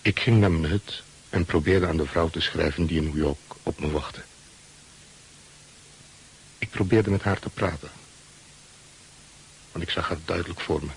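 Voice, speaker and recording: A man's voice: low voice, moderate pace, long pauses, poor audio. An actor playing a brooding, terse ship's first mate who narrates his story in a Dutch radio play. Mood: brooding, reflective. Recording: hissy old radio recording.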